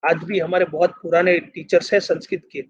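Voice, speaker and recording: High-voiced Gujarati man lecturing, lo-fi sound. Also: flat delivery, a little fast, slightly rough. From Gujarat, India. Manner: emphatic, repeats phrases in parallel, mixes in English terms. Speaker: university vice-chancellor, speaker. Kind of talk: public lecture, explanatory and persuasive.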